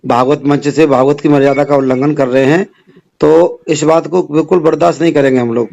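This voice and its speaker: A man's voice: on the high side, fairly even intonation, a little fast, a little mellow. A man in a phone conversation, speaking formally and admonishingly as an activist, repeating phrases for emphasis. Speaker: religious organisation activist. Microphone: phone call. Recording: phone call recording with clear noise.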